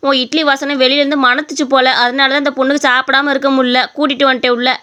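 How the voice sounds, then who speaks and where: A woman's voice: high-pitched, flat delivery, fast talker, bright, forceful tone. A woman voicing a chatty, blunt, familiar local woman customer in a story. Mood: cheerful.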